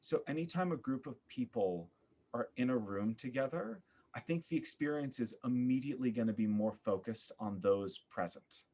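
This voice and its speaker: A man giving a thoughtful interview as a podcast guest, searching for his words with an earnest, halting manner. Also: mid-range voice, lively intonation, a little mellow. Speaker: podcast guest, theater artist.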